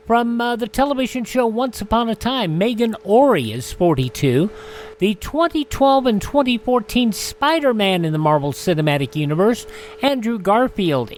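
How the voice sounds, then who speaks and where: A man's voice: high voice, slight background noise. A man hosting a radio show, announcing in the style of a presenter reading a roundup.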